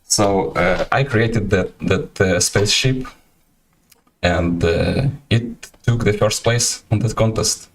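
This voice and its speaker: Ukrainian-accented male host, very low voice, halting casual livestream chat. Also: slight background noise. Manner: halting, many fillers and restarts.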